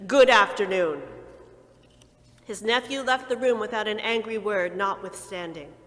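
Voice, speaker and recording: A woman narrating a dramatic reading of a classic story with expressive, theatrical pacing. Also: medium pitch, normal speed, in a large hall.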